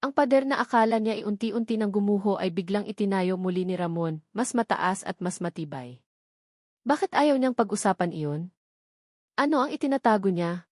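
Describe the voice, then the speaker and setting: A woman storyteller delivers scripted romantic drama narration at a middle pitch. The sound is dry and close, with very little room around the voice. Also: moderate pace, a little mellow, no room sound.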